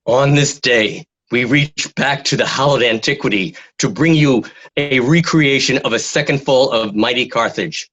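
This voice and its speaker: A pompous Roman herald played by a man proclaims battle in a grand, declamatory manner for a radio play. His voice sits at a middling pitch, and the home recording is dull and lo-fi. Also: moderate pace, fairly bright tone, close to an everyday voice. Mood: grandiose, proclaiming. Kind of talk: amateur radio play acting.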